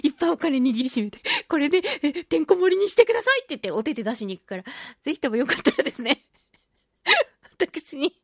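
A woman hosting a solo radio talk show, chatting and reading out listener mail with animated, playful reactions. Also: high-pitched, dry recording. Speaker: radio show host, voice actress.

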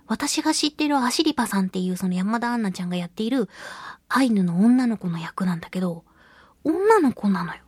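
A woman's voice: medium pitch, very animated, a little fast, darkish tone, slightly rough, no room sound, clean studio sound. A woman hosting a casual solo radio show, chatting expressively and giggly as she reads and responds to listener mail. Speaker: radio show host, voice actress.

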